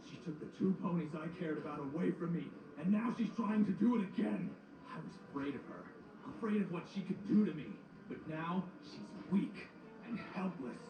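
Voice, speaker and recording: A high male voice from an actor plays a vengeful shadow villain, intense and wounded. The melodramatic radio-play acting comes from a distance with echo, under clear music. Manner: intense, menacing, pleading. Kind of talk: melodramatic fan audio drama acting. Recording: echoey, distant playback under loud music.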